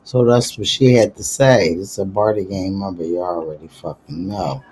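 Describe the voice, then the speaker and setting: A man's voice: deep voice, steady tone, moderate pace, darkish tone, slightly rough. A man hosting a gossip commentary vlog, talking in a hyped, slangy, profane way. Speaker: vlog host.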